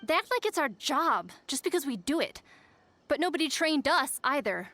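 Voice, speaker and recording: An actress playing a courteous married housewife, a little fed up with chores, in a video game. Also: female voice, high voice, very animated, normal speed, sharp tone, soft background music, full character voice. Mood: exasperated. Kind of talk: scripted video game voice acting.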